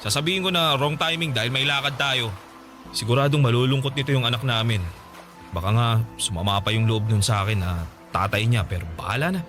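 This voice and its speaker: A man's voice: medium pitch, brisk, close to an everyday voice. A man playing a torn, defensive boyfriend and devoted father who pleads his case in a Filipino radio drama. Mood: resentful. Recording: music behind the voice.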